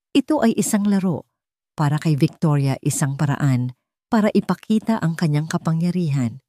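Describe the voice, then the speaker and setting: Audio story narrator: Filipino woman, deep-voiced and warm, scripted dramatic delivery. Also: no room sound, clean studio sound.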